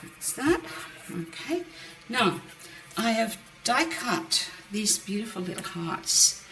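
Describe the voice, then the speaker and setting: A woman hosting a card-making demonstration, chatting through each step with a croaky voice. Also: fairly high pitch, big pitch swings, slow pace, fairly bright tone. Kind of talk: casual craft demonstration. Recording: roomy, mic at a distance, faint background noise. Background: birds outside.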